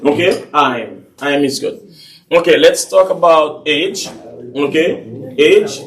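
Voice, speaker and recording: Patient man teaching English, somewhat high voice. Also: a little slow, a little hiss or hum. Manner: patient, repeats phrases, switches into French. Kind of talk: classroom language lesson. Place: classroom.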